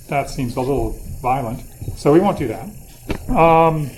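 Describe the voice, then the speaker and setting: Male university lecturer, slightly high voice, informal and wry, questioning students over room noise. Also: poor audio. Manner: informal, thinking aloud, playfully wry.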